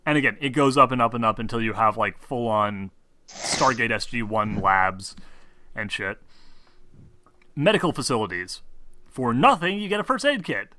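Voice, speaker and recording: Bright-voiced man explaining tabletop game rules. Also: mid-range voice, expressive, moderate pace, pauses often. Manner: hesitant, rambling delivery with casual swearing. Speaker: game master.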